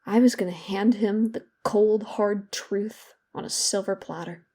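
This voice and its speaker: A woman's voice: medium pitch, steady tone, unhurried, mellow, close to an everyday voice. A young woman playing a guilt-ridden, bitter therapy patient in a confessional, self-loathing audio drama monologue. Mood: bitter, defiant. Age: young adult.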